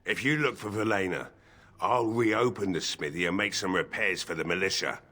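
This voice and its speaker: A man's voice: deep voice, normal speed, bright, forceful tone. A man acting a grieving, drunken, bitter village blacksmith in a fantasy video game, with a weary, sardonic drawl. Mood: pleading, insistent. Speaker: voice actor in a fantasy role-playing game.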